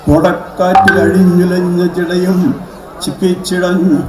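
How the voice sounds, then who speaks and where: A man reciting poetry live at a community event, in a measured, chant-like delivery with halting, repeated phrases. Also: high voice, little pitch movement. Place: echoey event hall. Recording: echoey hall with audience noise.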